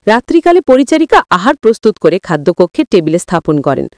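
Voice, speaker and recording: A woman's voice: mid-range voice, sing-song, brisk, dry recording, studio quality. A woman narrating a scripted moral story in formal, literary wording, as a dramatic storyteller.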